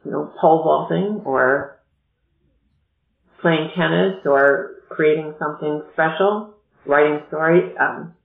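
A woman educational consultant explaining college admissions in a measured, explanatory way during a podcast interview. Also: sits low, creaky. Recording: echoey, tinny phone-like line.

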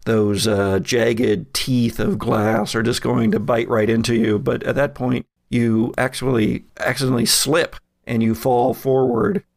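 A man acting as game master, improvising horror narration for a tabletop game with halting, suspenseful pauses. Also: medium pitch, flat delivery, gravelly, no echo, clean studio sound.

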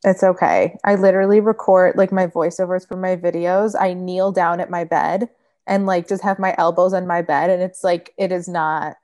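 A woman in her late twenties, a podcast guest chatting casually and telling stories with animated emphasis. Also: lowish voice, normal speed. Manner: animated, laughing storyteller. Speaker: guest food blogger. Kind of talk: casual chat.

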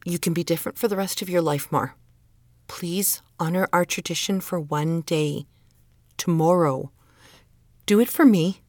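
A woman's voice: fairly low pitch, unhurried, long pauses, darkish tone, dry recording, studio quality, lightly acted. A woman playing a stern, exasperated middle-aged mother who holds to tradition, in a naturalistic audio drama, insistent and scolding. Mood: earnest, coaxing. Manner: insistent, guilt-laden pleading and scolding.

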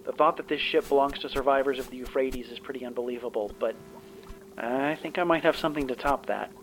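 A man's voice: fast talker, a bit bright, faint music behind, close to an everyday voice, poor audio. A man playing a dry, practical starship engineer in an audio drama, wry and conversational. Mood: puzzled, wry. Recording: faint ship rumble behind.

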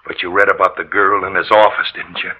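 A haunted morgue worker talks with husky, brooding intensity, as if obsessed. His low voice halts in vintage radio drama style. The old recording is dull and lo-fi. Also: little pitch movement, fast. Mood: insistent. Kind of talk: 1940s radio drama acting.

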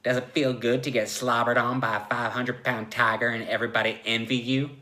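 A brash, demanding tiger-man from a comic story, acted out by a man. The voice is forceful and sharp, with crude, folksy phrasing and a bossy, impatient temper. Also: mid-range voice, little pitch movement, fairly quick, lightly acted. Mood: lustful, teasing. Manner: demanding, foul-mouthed, folksy grammar. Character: brash, impatient male prisoner turned lustful giant tiger. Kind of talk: comic live story reading.

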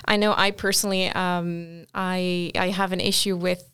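A woman's voice: fairly low pitch, level tone, normal speed, fairly bright tone, clean tone. A woman from Portugal whose first language is Portuguese, hosting and asking questions in a friendly, inquisitive way. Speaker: podcast host. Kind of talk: conversational interview.

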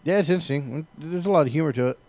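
A man's voice: a little high, very fast, darkish tone. A man, perhaps in his thirties, chatting informally about video games as a co-host, with plenty of profanity.

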